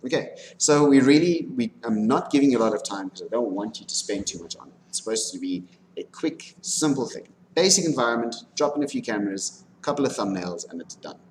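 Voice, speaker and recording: A man lecturing informally on animation software, talking through a live demonstration and often checking in with his students. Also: medium pitch, expressive, fairly quick, rough recording. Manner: instructive, frequently checking in with "okay". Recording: background noise, room ambience.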